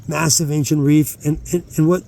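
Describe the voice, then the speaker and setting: Higher-pitched man, hesitant rambling vlogger. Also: flat delivery, moderate pace, gravelly, dark tone. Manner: hesitant, meandering, self-interrupting. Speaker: channel host. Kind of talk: casual rambling outdoor vlog talk. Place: outdoors at night, rockhounding.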